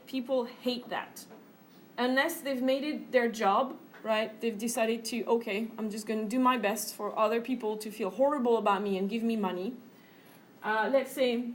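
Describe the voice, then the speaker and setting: Woman teacher, fairly high voice, earnest classroom lecture, filler-heavy, faint room noise. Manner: earnest, many fillers and tag questions.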